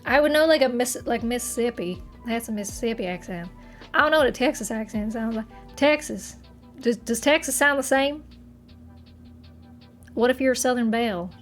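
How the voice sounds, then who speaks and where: A woman's voice: a little high, normal speed, pauses often, faint music behind. A young woman from Massachusetts, chatting casually with her live chat from her apartment, giggling and trailing off mid-sentence. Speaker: streamer.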